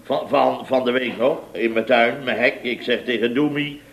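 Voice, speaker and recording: An actor playing a boastful, blustering builder boss in his fifties in an old radio comedy, halting and repeating himself as he goes. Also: medium pitch, vocal fry, lightly acted. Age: 50s. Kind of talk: 1970s radio comedy acting.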